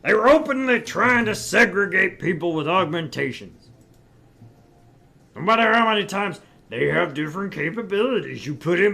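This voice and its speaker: Guy doing a cocky older businessman from a visual novel, gravelly, kinda high for a man, condescending and blustery. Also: pushed and bright, full character voice. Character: brash, gruff older businessman at a bar, needling and boastful. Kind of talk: visual novel read-aloud.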